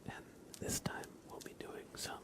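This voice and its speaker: A man hosting an ASMR livestream, whispering softly into a close microphone as he chats casually to viewers and comments on a race. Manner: soft whispering, unhurried chat to viewers. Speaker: livestream host. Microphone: close sensitive microphone.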